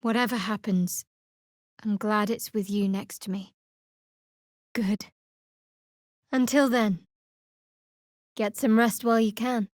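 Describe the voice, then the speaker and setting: A woman's voice: mid-range voice, fairly even intonation, takes it slowly, long pauses, a little mellow, no echo, close to an everyday voice. Woman acting a guarded, sardonic young adventurer, dry-miked. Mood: tender, earnest. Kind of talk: video game character voice acting.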